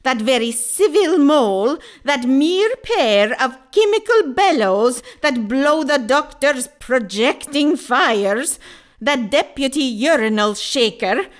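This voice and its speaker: A woman's voice: sits high, sing-song, takes it slowly, full character voice. A woman playing a shrewd, haughty, husband-hunting governess in a classical audio farce, with mannered, archaic phrasing. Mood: scornful. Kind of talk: classical stage farce acting in an audio play.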